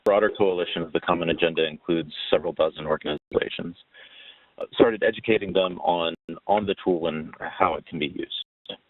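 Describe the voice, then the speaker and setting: A man giving an informal explanatory presentation in a halting way, pausing mid-sentence, over a muffled phone-like line. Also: low-pitched, fairly even intonation, dry recording. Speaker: webinar presenter.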